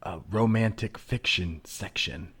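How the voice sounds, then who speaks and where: A man voicing an awed, furtive scholar from the future, speaking in a secretive hush in an improvised comedy sketch. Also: on the low side, measured pace, slight background noise. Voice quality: husky, hushed.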